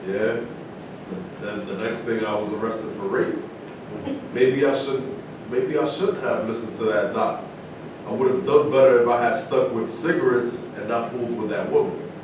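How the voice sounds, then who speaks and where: A man's voice: fairly even intonation, normal speed, close to an everyday voice. A man acting in a staged reading as a brash, unapologetic ex-boxer in conversational dialogue. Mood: flippant. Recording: very echoey room, distant, rumbling noise.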